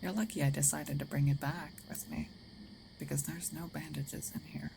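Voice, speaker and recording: A woman playing a gruff but caring, territorial werewolf in an intimate ASMR roleplay, hesitant and often dropping into breathy half-whispers. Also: low voice, big pitch swings, normal speed, noisy background, heavily acted. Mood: matter-of-fact, tending. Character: gruff female werewolf, territorial yet caring, awkward protector.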